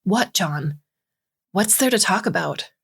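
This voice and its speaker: A woman's voice: medium pitch, animated, slow, gravelly, fairly bright tone, breathy edge, exaggerated voice. A woman voicing a hurt, angry young woman in a lovers' quarrel, emphatic and accusing, in dramatized story dialogue. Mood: agitated, accusing.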